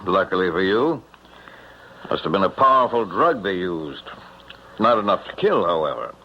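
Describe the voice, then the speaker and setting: An actor playing a shrewd, wryly amused police captain in a radio drama, speaking deliberately with measured hesitations. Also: male voice, deep voice, very animated. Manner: deliberate, wry, hesitant pauses. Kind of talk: old-time radio drama acting. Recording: muffled, noisy radio drama recording.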